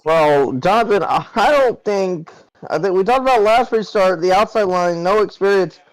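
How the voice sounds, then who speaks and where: Male play-by-play caller, smooth, chatty delivery. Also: sits high, lively intonation, moderate pace, rough recording. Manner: chatty, conversational race calling. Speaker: play-by-play commentator. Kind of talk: sim racing broadcast commentary.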